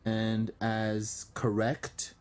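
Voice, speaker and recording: A man's voice: lowish voice, completely flat delivery, very slow, clean tone. Armenian-born man explaining at length. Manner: explanatory, fluent with frequent ums and uhs. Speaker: guest, diplomat. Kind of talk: casual interview talk.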